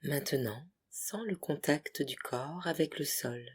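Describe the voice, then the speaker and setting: Casting: meditation guide, mid-pitched voice, breathy and hushed, soothing short phrases for deep relaxation. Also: slow. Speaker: yoga nidra guide.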